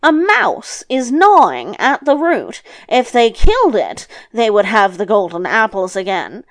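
Casting: female reader as grumbling male devil for a fairy tale, unhurried delivery, quick to anger, exclamatory. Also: on the high side, sing-song, dry recording. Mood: scornful, knowing. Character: gruff irritable male devil, sleepy and easily angered.

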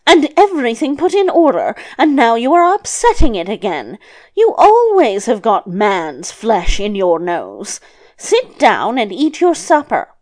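A woman's voice: sits high, very animated, slow, a little mellow, voice pushed up, heavily acted. A woman voicing an old grandmother in a storybook tale, sly and coaxing, both scolding and soothing. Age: older.

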